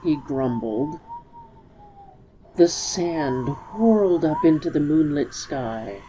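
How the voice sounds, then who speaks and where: A woman's voice: low voice, lively intonation, slow pace, mellow, highs cut off, a little hiss or hum. A woman storyteller giving a dramatic reading of a story.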